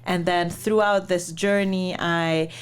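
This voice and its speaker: A woman from Lebanon with a slightly low voice tells her life story in an interview. She talks in a measured, reflective way, pausing often and filling gaps with soft hesitations. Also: fairly even intonation, smooth. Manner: measured, reflective, many pauses and fillers. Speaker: guest, startup founder and investor.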